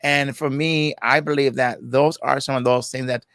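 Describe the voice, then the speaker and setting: A reflective Jamaican man in his sixties, talking as a guest in easygoing conversation. His voice sits at an ordinary middle pitch and is recorded close and dry, with almost no room sound. He keeps checking in with "you know" and "right" as he thinks aloud. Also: fairly even intonation, moderate pace, a bit bright, dry recording. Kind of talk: casual conversation.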